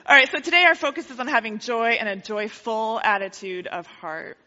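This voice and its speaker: A woman's voice: fairly high pitch, lively intonation, fairly quick, sharp tone. A woman preaching a sermon in a church, joking and teasing the congregation and laughing often. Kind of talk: sermon with playful jokes. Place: church sanctuary. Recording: lo-fi hall recording with long reverb.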